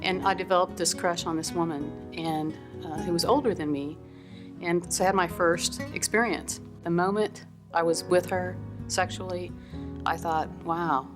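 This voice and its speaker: A woman giving a candid, reflective interview and talking with some hesitation. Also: fairly low pitch. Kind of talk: personal interview. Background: guitar music.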